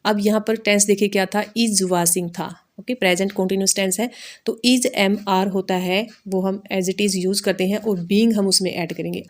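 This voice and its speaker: A woman's voice: mid-range voice, steady tone, moderate pace. A woman with an Indian accent teaching a grammar lesson, explaining step by step in an instructional, repetitive way and checking that listeners follow. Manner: instructional, repetitive, checking understanding with short tags.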